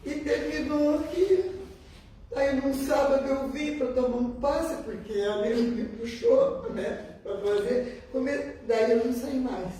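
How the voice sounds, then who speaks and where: High-voiced southern Brazilian woman, echoey room. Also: takes it slowly. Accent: southern Brazilian, Rio Grande do Sul. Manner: animated, repeats words for emphasis, chuckles while talking. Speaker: spiritist medium giving testimony. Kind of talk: informal personal storytelling at a group talk. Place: echoey meeting room with a group. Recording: very echoey room, distant mic, faint noise.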